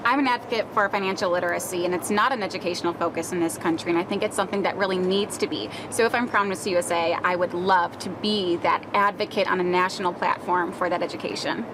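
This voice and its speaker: Young woman, earnest, quick, noise behind. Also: fairly low pitch, fairly even intonation. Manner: poised, earnest. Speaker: pageant contestant. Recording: background noise.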